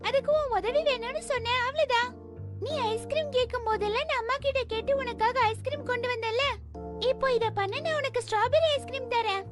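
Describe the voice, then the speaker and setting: A woman voicing an eager, impatient little schoolgirl in a children's cartoon, with an excitable, pleading manner. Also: very high-pitched, a little fast, loud music behind the voice. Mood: excited, coaxing. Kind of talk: Tamil-dubbed children's cartoon acting.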